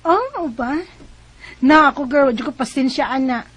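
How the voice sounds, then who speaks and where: An actress playing a breezy, impatient young best friend in a Bisaya radio drama, chatty and playful, with a Cebuano accent. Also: female voice, sits high, expressive, a little slow, a bit bright.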